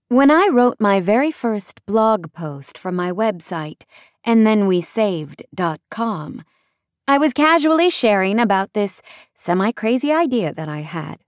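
A woman's voice: mid-range voice, dry recording, clean studio sound. A woman narrating a first-person nonfiction audiobook in a conversational way, leaning on key words for emphasis.

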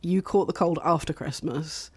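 A woman's voice: sits low, steady tone, normal speed, husky, dry recording. A woman in her late thirties co-hosting a casual podcast, a bit bunged up from a cold, chatty and wry, swearing casually. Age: late 30s. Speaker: podcast co-host. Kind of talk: casual chat.

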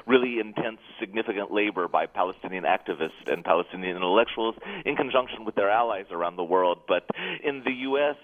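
Interview guest, a man in his thirties, articulate and measured, low voice, tinny phone audio. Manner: measured, articulate, occasional soft laughs. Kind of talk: thoughtful interview answers.

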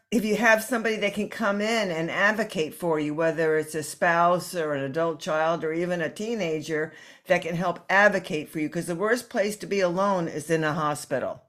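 A woman's voice: on the low side, fairly bright tone. A woman interviewing a guest, asking questions and responding supportively. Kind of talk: conversational interview.

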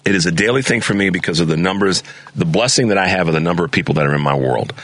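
Fervent male radio host, very deep voice, dry close studio sound, preachy monologue delivery. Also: expressive, very fast, fairly bright tone, no echo. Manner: fervent, preachy, repeats words when stirred. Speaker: radio talk show host. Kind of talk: impassioned political talk radio monologue. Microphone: studio mic.

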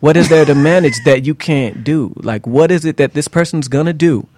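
A man's voice: fairly high pitch, a little fast, a little mellow, a little hiss or hum. A man speaking as a guest singer in a conversational interview, giving advice emphatically with rhetorical questions and repeated phrases.

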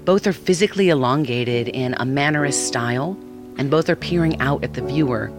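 An actor plays a calm, helpful digital assistant guiding a user in an audio fiction piece. The delivery is polite, measured and precise, at a middle pitch, with clear music behind it. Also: natural voice. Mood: measured, descriptive. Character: calm, helpful AI seeing-eye app assistant.

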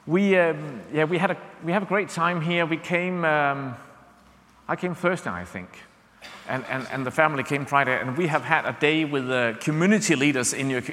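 A man's voice: medium pitch, sharp tone, long reverb tail. Danish-accented man preaching in a church. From Denmark. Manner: conversational, many fillers and restarts, checks in with "yeah?". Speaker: visiting preacher. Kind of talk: sermon.

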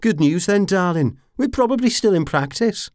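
A man's voice: high voice, expressive, normal speed, dry recording, clean studio sound, pitched above the performer's own voice. A man voicing a scrawny, cheeky mercenary brawler in an audiobook, brash, leering and teasing. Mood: cheerful, cheeky. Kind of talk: audiobook character acting.

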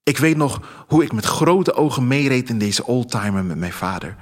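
A man narrating a scripted first-person audio drama in a measured, reflective storytelling manner. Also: medium pitch, lively intonation. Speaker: author reading own story. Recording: music under the voice. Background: music bed.

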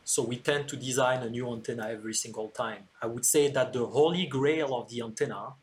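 A man with a French accent explains his engineering work in an interview, in a mid-range voice. He speaks earnestly and keeps pausing on "uh" fillers as he searches for words. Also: mid-range voice, steady tone, a little hiss or hum. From France. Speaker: antenna engineer, podcast guest.

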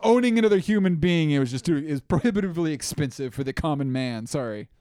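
A young man co-hosting a casual podcast, talking in an animated, mocking way and stammering often. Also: fairly high pitch, fast talker, slight background noise. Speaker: podcast co-host. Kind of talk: casual chat.

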